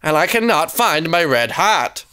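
A woman voicing an excitable, fussy sister from a children's storybook, whiny and insistent in manner. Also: low-pitched, a little slow, clean tone, full character voice. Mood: indignant.

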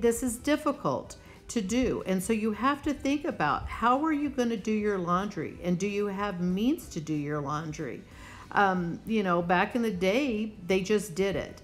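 A woman hosting a preparedness vlog, talking casually and instructively, plainspoken and speaking straight to the viewer. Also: mid-range voice. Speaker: host of a prepping channel.

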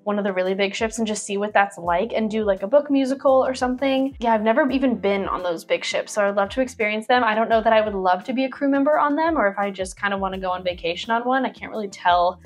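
A woman's voice: mid-range voice, fast talker, hardly any pauses. A young woman in her twenties, a cruise ship performer, chatting casually to camera as she reads out viewer questions and answers them with lots of fillers and little laughs, recorded in a small bedroom on a lapel mic. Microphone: clip-on lapel mic.

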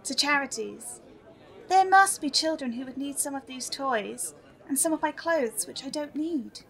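A woman's voice: sits high, expressive, normal speed, creaky, some chatter behind, low-quality recording. An actress playing an earnest, polite, repentant little girl in an audio drama, sincere and careful in her speech. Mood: thoughtful, kind.

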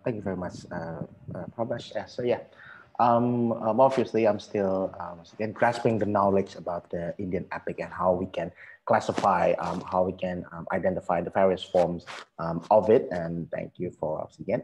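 A man in his thirties with an Indonesian accent, answering as an academic panelist on a headset, politely and haltingly, often repeating himself. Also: deep voice, steady tone, a little mellow, a little hiss or hum, low-quality recording. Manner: hesitant, halting, repetitive, polite. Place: small room at home, video call.

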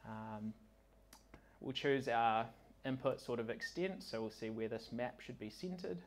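A man gives a live technical demo to a meetup in an indoor venue. His pitch is mid-range for a man, and his delivery is casual, slightly halting as he operates the software while he talks. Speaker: conference speaker, software consultant. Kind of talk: informal technical demo presentation. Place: indoor meetup venue with large windows and projector screen.

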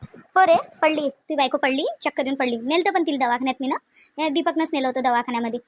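Woman acting a high-voiced, sharp-tongued village mother-in-law. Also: quick pace, slight background noise, close to an everyday voice. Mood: dismissive. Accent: Varhadi Marathi of Vidarbha. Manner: sharp-tongued, nagging, sarcastic. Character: proud, scolding, sarcastic middle-aged mother-in-law. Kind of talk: comic village family cartoon dialogue.

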